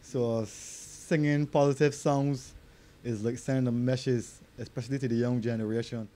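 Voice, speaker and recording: A man's voice: mid-range voice, little pitch movement, moderate pace. A Barbadian man, an artist, chatting loosely in dialect in an interview. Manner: loose, streetwise, grows loud and hyped. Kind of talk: casual interview answers.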